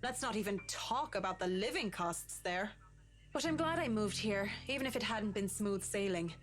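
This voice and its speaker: An actress plays a young working woman in a visual novel game. She is medium-pitched, polite and reserved, keeping a businesslike guard up, with clear background music. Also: expressive, normal speed, close to an everyday voice. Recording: game dialogue over music.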